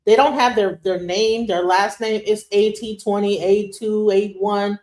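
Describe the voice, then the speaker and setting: A woman's voice: medium pitch, fairly even intonation, a little slow, darkish tone. A woman co-hosting a casual talk show, making emphatic points and talking over the others. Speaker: co-host. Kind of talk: casual chat reacting to a video. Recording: roomy echo.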